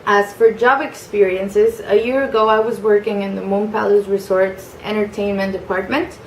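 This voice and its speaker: A nineteen-year-old woman from Mexico with a Mexican accent, a native Spanish speaker, introducing herself in English at home in a casual video, speaking softly and hesitantly with many fillers. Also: medium pitch, fairly even intonation, normal speed, roomy sound, slight background noise, poor audio. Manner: soft-spoken, hesitant with frequent fillers. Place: family home.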